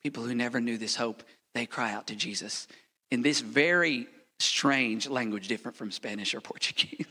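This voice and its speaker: Passionate preacher in a reverberant hall. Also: male voice, mid-range voice, moderate pace, husky. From United States. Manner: passionate, preacherly, swings from loud to hushed. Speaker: missionary pastor. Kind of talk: sermon with a prayer. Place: church sanctuary.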